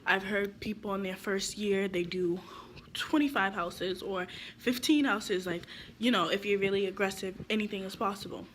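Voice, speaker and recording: A woman's voice: medium pitch, fairly even intonation, moderate pace, a little hiss or hum. A young woman giving casual real estate advice to camera, her voice stuffy from being sick, chatty and rambling with lots of fillers.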